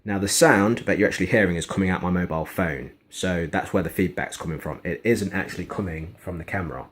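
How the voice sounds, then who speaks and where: A man's voice: deep voice, quick pace, noisy background. A man giving a casual, frankly critical product review and demonstration indoors in a conversational way. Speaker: gadget reviewer. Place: indoors in a kitchen.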